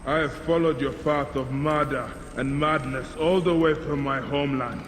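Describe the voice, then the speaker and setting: Actor playing grieving, vengeful warrior in a reverberant hall. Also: male voice, fairly high pitch, steady tone, moderate pace, a bit bright, a little hiss or hum, natural voice. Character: grieving, vengeful Egyptian warrior, a bereaved father. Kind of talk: dramatic video game cutscene acting.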